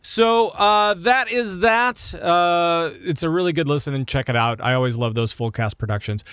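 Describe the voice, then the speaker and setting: A man's voice: a little high, normal speed, a bit bright, clear, resonant tone, no room sound, studio quality. A man hosting a casual gaming news podcast discussion, steering the conversation between co-hosts. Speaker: podcast host.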